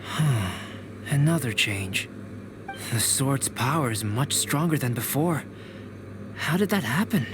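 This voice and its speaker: An actor playing a composed young male alchemist mentor who speaks in a calm, measured way. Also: mid-range voice, music in the background. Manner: calm, measured, quietly reproachful. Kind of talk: video game voice acting.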